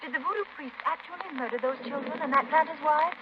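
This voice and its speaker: A woman's voice: sits high, quick pace, close to an everyday voice. An actress playing a genteel, sensitive young lady visitor, polite and dramatic in 1930s radio style. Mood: horrified, anxious. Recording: muffled 1930s radio recording, faint noise.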